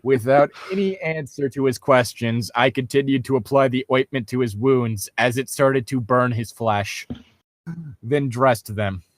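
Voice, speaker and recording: A man's voice: mid-range voice, fairly even intonation, unhurried, no room sound. A man reading fan fiction aloud for laughs, with jokey, profane banter. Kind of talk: mocking comedic read-aloud and banter.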